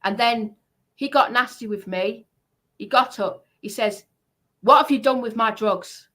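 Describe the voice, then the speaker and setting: A woman's voice: on the high side, unhurried, fairly bright tone. A woman giving a heated personal account on a livestream, speaking in an indignant, emphatic way and repeating herself for stress.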